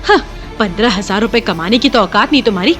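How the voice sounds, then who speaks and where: A woman's voice: mid-range voice, animated, quick pace, fairly bright tone, soft background music, natural voice. A woman voicing a haughty, contemptuous young wealthy wife in an audio drama.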